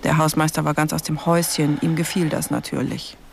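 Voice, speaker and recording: Creaky, brooding investigator, lightly pitched. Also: male voice, little pitch movement, brisk, slight background noise. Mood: pensive. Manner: terse, pensive, in clipped fragments. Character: brooding investigator narrating his case, quiet and observant. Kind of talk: radio crime drama narration.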